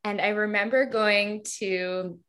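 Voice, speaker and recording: A young woman with a high voice, a hypnotherapist speaking as a podcast guest in a casual, reflective way, full of "like" fillers. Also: fairly even intonation, slow, a bit bright, clean tone. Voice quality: high-pitched. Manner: talkative, reflective, many "like" fillers. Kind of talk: casual interview chat.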